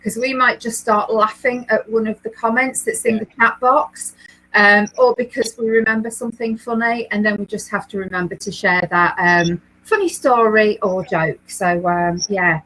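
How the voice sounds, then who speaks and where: A woman's voice: medium pitch, fairly even intonation, moderate pace, pushed and bright, low-quality recording. A woman from Leeds, co-hosting a knitting livestream from home, chatting casually with lots of ums and easy laughs. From England, Leeds area. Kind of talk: casual chat, reading viewer comments.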